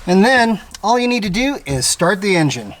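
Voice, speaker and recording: An American how-to host with a mid-pitched voice, explaining a hands-on job step by step in a casual, conversational way. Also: very animated, moderate pace, slight background noise. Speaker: host of an automotive how-to channel. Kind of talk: casual how-to tutorial narration.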